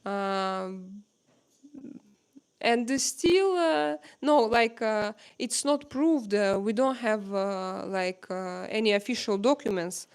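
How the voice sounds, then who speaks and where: A woman with a Russian accent and Russian as her first language, hosting a casual live-stream chat at home and pausing often to search for words. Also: medium pitch, smooth. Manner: halting, searching for words with many pauses.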